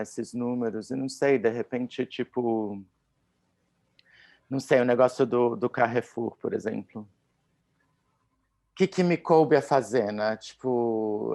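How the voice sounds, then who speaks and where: A man's voice: mid-range voice, gravelly, darkish tone, no room sound. A man with a Brazilian Portuguese accent chatting casually on a video call, hesitant and full of fillers. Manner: hesitant, filler-heavy, says "tipo" often. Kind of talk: casual conversation.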